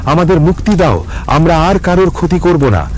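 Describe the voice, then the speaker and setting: A man voicing an older gentleman who leads a band of ghosts in a horror story. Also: a little high, big pitch swings, moderate pace, slight background noise, pitched above the performer's own voice. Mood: wailing plea.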